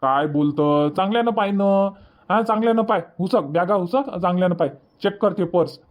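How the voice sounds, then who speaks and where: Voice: medium pitch, a little fast, lightly acted. A performer plays a village husband and father from a cartoon comedy. He speaks in a Varhadi Marathi accent, handing out orders and scolding like an exasperated head of the house. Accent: Varhadi Marathi from Vidarbha. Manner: bossy, scolding.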